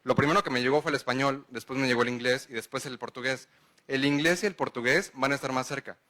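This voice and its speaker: Bright-voiced Mexican man lecturing. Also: mid-range voice, quick pace. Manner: explanatory, checks in with "¿vale?" and "¿no?". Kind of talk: conference lecture answering questions.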